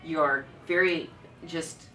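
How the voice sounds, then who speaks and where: A middle-aged woman with a low voice and a bright, forceful edge speaks assertively and enthusiastically. She is miked from some distance, with a faint hum of background noise. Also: steady tone, takes it slowly. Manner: assertive, enthusiastic, self-described louder voice. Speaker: founder of a styling program. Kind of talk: conversational interview.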